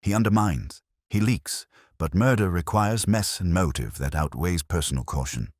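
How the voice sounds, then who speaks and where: A man voicing a middle-aged police inspector who speaks mildly and dryly, with a very deep husky voice. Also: lively intonation, moderate pace, dry recording. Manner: mild, dry delivery.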